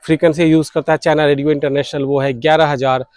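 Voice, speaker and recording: A man's voice: fairly high pitch, flat delivery, quick pace, a little mellow, dry recording, studio quality. A man narrating informally as a vlog host, explaining things step by step. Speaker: shortwave radio vlogger, host.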